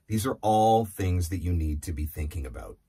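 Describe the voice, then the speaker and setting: A man's voice: sits low, lively intonation, moderate pace, mellow, husky. A man in his forties giving conversational, emphatic instructional advice, often posing rhetorical questions like a coach. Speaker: voiceover marketing coach. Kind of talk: instructional talk to camera. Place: small indoor studio or office. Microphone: lapel mic.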